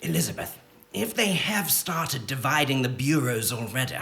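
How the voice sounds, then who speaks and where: A woman's voice: rumbling low, very animated, moderate pace, heavy background noise, exaggerated voice. A woman playing a jovial, teasing, slightly ridiculous husband in a live radio play. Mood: playful teasing. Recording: roomy live stage.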